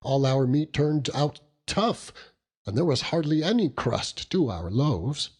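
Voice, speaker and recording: Expressive male narrator, slow and slightly husky. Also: medium pitch. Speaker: audiobook narrator. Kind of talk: dramatic literary narration.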